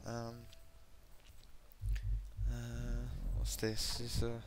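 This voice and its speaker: A man talks through a game's gear, his voice a little croaky and his speech full of ums and restarts. He sits at a home computer mic, and a faint hum of room noise sits under him. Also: medium pitch, completely flat delivery, extremely slow. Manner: hesitant, many ums and self-corrections. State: voice going croaky. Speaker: gaming video creator. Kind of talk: casual gameplay commentary.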